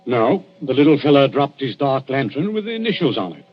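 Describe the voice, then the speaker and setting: An actor playing a genial, sly, insinuating police inspector in a 1940s radio drama, with folksy, wheedling politeness. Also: male voice, medium pitch, sing-song, a little fast. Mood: pointed, insinuating. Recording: muffled 1940s radio broadcast, faint music.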